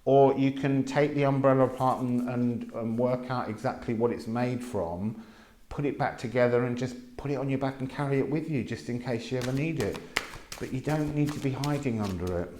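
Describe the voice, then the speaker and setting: A man giving a casual spoken card reading, musing slowly with many pauses. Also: medium pitch, warm tone. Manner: slow, musing, drawn-out delivery with many pauses. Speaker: tarot and astrology reader. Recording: echoey room with faint noise.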